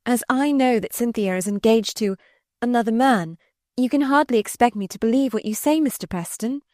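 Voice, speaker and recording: A woman's voice: fairly high pitch, normal speed, darkish tone, dry recording, studio quality, voice pushed up, close to an everyday voice. A woman voicing an earnest, forthright young woman in a storybook reading, direct and proper in manner. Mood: disbelieving. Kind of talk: dramatised audiobook reading.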